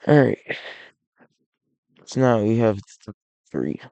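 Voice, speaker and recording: A man narrating his alternate-history map series in a loose, rambling way, sounding a bit raspy and under the weather, often restarting and correcting himself. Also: on the low side, lively intonation, slow pace, mellow, no room sound, lo-fi sound. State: unwell, a bit hoarse.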